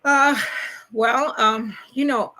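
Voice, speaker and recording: A woman with a Cuban accent speaks in a high, bright voice and sounds upbeat and hyper. She laughs often and repeats words as she talks. Spanish is her first language. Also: steady tone, slow, poor audio. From Cuba. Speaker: logistics salesperson, guest. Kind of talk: podcast interview.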